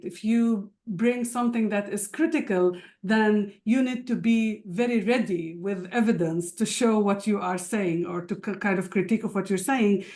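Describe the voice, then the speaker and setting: A woman's voice: medium pitch, darkish tone. Woman, first language Arabic, many restarts. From Palestinian citizen of Israel. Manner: thoughtful, many fillers and restarts. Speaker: university lecturer. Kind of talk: panel discussion.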